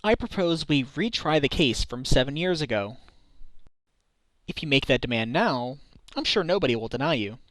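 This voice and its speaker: A man playing an earnest, excitable defense lawyer in a fan dub of a courtroom mystery game, emphatic and pleading. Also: medium pitch, moderate pace, dry recording, close to an everyday voice. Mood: earnest, pressing.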